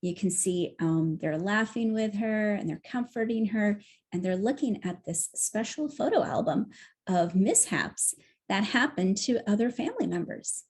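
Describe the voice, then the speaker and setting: Female, 40s, mid-pitched, explaining a picture book to children from a room at home. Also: animated, measured pace, a little mellow. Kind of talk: presenting her book to camera for children.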